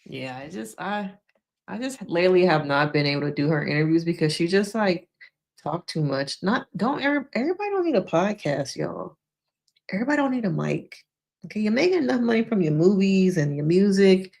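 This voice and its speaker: A woman's voice: lowish voice, big pitch swings, fairly quick, vocal fry, a little mellow. A woman hosting a casual live stream, chatting frankly with viewers, laughing often, and losing her voice.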